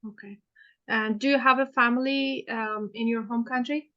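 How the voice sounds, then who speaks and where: Woman, higher pitch, hesitant questioning, muffled lo-fi sound. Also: steady tone, moderate pace. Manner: hesitant, many fillers and restarts. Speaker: student playing counsel in a mock hearing. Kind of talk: role-play questioning in a mock hearing.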